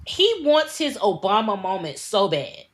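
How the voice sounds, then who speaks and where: A woman's voice: medium pitch, sing-song, unhurried. A woman from the United States co-hosting a news podcast, ranting bluntly and with plenty of profanity. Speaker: podcast co-host. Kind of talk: heated casual news commentary.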